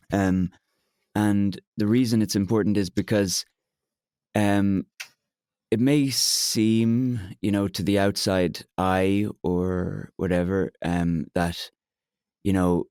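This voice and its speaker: A young man from Ireland with an Irish accent, a bit hoarse today, talking openly in a therapy podcast and pausing often with fillers and restarts. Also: deep voice, a little mellow, dry recording, studio quality. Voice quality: hesitant, many fillers and restarts. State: slightly hoarse from shouting. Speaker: podcast co-host, client. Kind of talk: candid therapy conversation.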